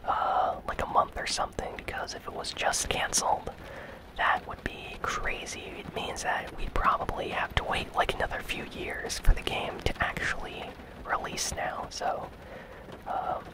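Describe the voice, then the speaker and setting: Casting: ASMR gaming news host, fully whispered and breathy, informal delivery. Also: moderate pace, low-quality recording.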